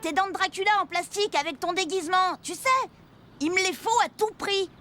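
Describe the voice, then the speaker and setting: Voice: very high-pitched, fairly quick. An actor voicing a cheeky, scheming young boy in a children's cartoon, with a whiny, theatrical delivery. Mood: urgent, pleading. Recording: loud music behind. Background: music.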